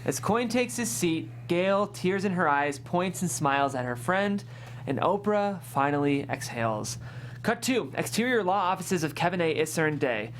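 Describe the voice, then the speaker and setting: A woman's voice: fairly low pitch, animated, moderate pace, a little hiss or hum. A woman reading a screenplay's scene directions as scripted narration at a table read. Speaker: stage-direction reader.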